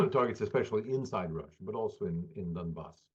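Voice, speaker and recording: A man giving spontaneous political analysis as an interview guest, halting and deliberate. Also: fairly low pitch, animated, slightly rough, dull audio.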